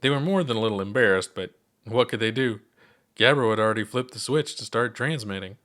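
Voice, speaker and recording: A man's voice: on the low side, brisk, a bit bright. A man narrating his own scripted story as an audiobook-style reading. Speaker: author and narrator.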